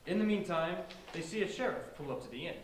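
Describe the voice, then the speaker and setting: A man's voice: fairly high pitch, animated, quick pace. A man reading scripted narration at an amateur staged play reading. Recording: roomy, faint background noise.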